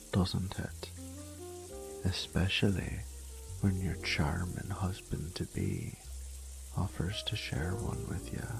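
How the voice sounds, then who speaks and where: A man's voice: deep voice, gravelly, a little mellow, full character voice. A man playing a charming reformed thief and flirtatious, tender fiancé in an intimate romantic audio roleplay, murmuring and dropping into whispers.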